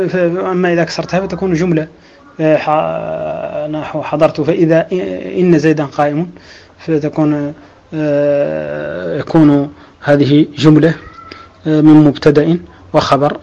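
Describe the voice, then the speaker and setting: Guy teaching grammar, kinda high voice, lots of long ums, recites verses slow. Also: gravelly, darkish tone, lo-fi sound. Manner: explains slowly with many drawn-out fillers and restarts; quotes verse and scripture in a measured recital tone. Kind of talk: lecture explaining a grammar text.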